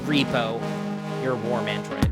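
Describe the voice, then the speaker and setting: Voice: medium pitch, fairly even intonation, takes it slowly. A streamer reading a visual novel aloud in narration, breaking off for casual, musing commentary. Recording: electronic music behind the voice. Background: electronic game music.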